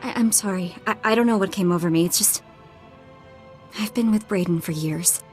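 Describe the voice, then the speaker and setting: A woman's voice: mid-range voice, normal speed, a little mellow, soft background music, lightly acted. A woman voicing a determined, grieving bounty hunter's handler in a video game, earnest and urgent in manner. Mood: shaken, grieving.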